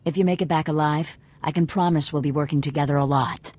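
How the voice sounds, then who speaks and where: An actress playing a wary, commanding female leader of a rebel cell in a video game, with a brisk, probing, businesslike manner. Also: low voice, fairly even intonation, darkish tone. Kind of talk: video game dialogue acting.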